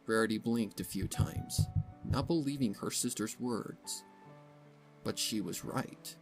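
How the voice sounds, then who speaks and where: Male narrator for dramatic fan fiction. Fairly low, solemn, measured scripted delivery with soft music underneath. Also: vocal fry.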